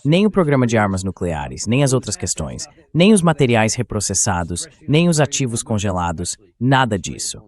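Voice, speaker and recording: A man's voice: fairly low pitch, very animated, normal speed, few pauses. A man with a Brazilian accent reading a translated voice-over of political news commentary as the show's host.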